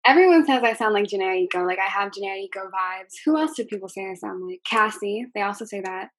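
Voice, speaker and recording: A young woman from the United States talks in a soft, gentle voice, full of ums and likes as she answers questions. Her voice sits at an ordinary pitch for a woman. The recording is dry, with little room sound. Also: lively intonation, fast talker, dry recording. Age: young adult. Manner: hesitant, many ums and likes. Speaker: singer being interviewed. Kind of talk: casual interview answers.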